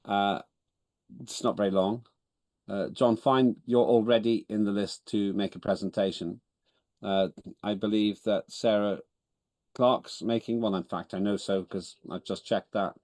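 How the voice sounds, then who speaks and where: A man's voice: fairly low pitch, steady tone, unhurried, darkish tone, dry recording. A man whose first language is Estonian, chairing an online parliamentary meeting in a businesslike way, often correcting himself with a dry humor. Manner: businesslike, self-correcting, wry. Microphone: video call.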